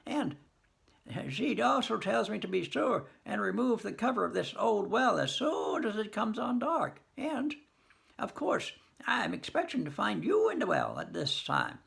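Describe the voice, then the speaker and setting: Man playing old farmer, grieving, bewildered, high quavering wail, lively lilt. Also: creaky, full character voice.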